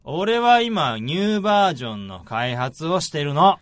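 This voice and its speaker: An actor playing a cocky, slacking senior AI researcher with a brash casual manner in a radio drama. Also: male voice, a little high, sing-song, normal speed, no room sound, studio quality. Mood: irritated. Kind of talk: radio drama acting with first-person narration.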